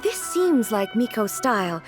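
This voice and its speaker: A dignified lady ruler from a video game, with a fairly high voice. She speaks formally and courteously, earnest and composed, over clearly audible music. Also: big pitch swings, unhurried. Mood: composed, curious.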